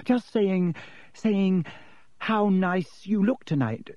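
A man voicing an earnest, anxious young schoolgirl in a storybook, polite and eager to smooth things over. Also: high-pitched, very animated, slow, no echo, muffled recording, voice pushed up, full character voice. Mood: falsely bright. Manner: anxious, falsely bright when flustered.

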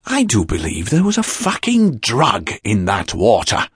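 A dazed, wry man, voiced by a man in an audiobook, drawling slowly in a very raspy voice that swoops wildly in pitch. He mutters his words. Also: huge pitch swings, no room sound, clean studio sound, full character voice. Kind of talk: audiobook character voice.

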